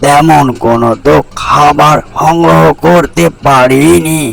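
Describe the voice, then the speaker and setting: Male actor as worn-out cartoon crow fretting over chicks, thick vocal fry, raised pitch, grumbling drawl. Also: steady tone, takes it slowly, a bit bright, heavily acted. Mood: weary, worried. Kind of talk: Bengali fairy-tale cartoon dubbing.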